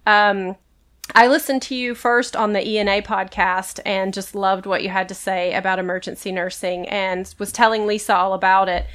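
A woman's voice: mid-range voice, flat delivery, normal speed, a bit bright. Sniffly woman nurse hosting a podcast. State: congested from a cold, sniffly. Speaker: emergency nurse and podcast host. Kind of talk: casual interview chat.

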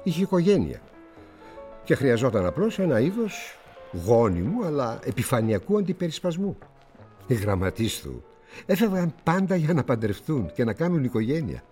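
Older male publisher for radio drama, played by an actor: cynical, patronizing, very animated pitch, music behind. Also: natural voice. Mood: cynical, assured. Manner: theatrical, patronizing, with musing pauses.

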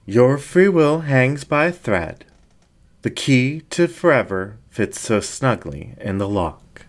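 A man voicing a seductive, commanding male fox demon ringmaster in a story reading, slow, grandiose and coaxing. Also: mid-range voice, very animated, voice pushed up. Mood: ominous coaxing.

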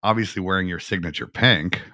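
A man's voice: deep voice, brisk, bright, forceful tone, dry recording, studio quality. A man hosting a podcast, asking questions in a playful, joking manner. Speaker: podcast host. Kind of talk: casual interview.

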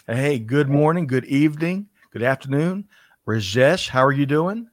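A man's voice: medium pitch, expressive, moderate pace, no echo, clean studio sound. A man hosting a casual business talk show, introducing guests with upbeat energy and frequent laughter. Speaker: podcast host.

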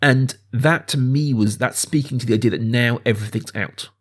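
Guy hosting a film podcast, medium pitch, casual and thoughtful, really into explaining stuff. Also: normal speed. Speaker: podcast host.

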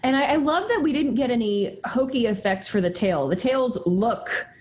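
Animated high-voiced woman podcaster. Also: moderate pace. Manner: animated, jumps between thoughts. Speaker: film podcast co-host. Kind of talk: casual chat reviewing a movie.

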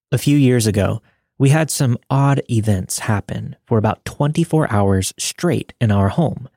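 A man narrates a written story in a soft-edged, fairly low voice. His delivery is measured and matter-of-fact, like a podcast storyteller. The recording is dry and close. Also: no echo. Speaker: podcast narrator reading listener stories. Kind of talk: scripted narration.

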